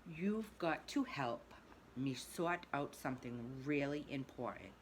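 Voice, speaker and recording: Woman doing a bragging storybook toad, loud and gushing, lively sing-song delivery. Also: rumbling low, slow pace, a little hiss or hum, heavily acted. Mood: exuberant. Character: boastful excitable rich male toad.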